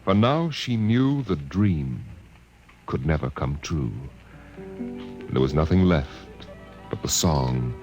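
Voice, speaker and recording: A man's voice: rumbling low, lively intonation, slow pace, pauses often, darkish tone, dull audio, soft background music. A man working as narrator, reading scripted science fiction in a dramatic storytelling style.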